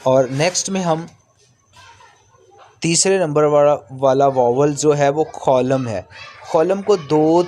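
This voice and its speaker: Slow-paced man teaching a language lesson. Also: on the high side, darkish tone. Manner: repetitive, step-by-step explaining with drawn-out sample sounds. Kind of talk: instructional lesson explaining letters and vowel sounds.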